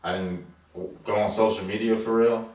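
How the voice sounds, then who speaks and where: A man's voice: on the low side, fairly even intonation, a little fast, darkish tone. A man in a casual chat as co-reviewer, talking in a loose, blunt, slangy way with some swearing. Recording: echoey, distant mic with background noise.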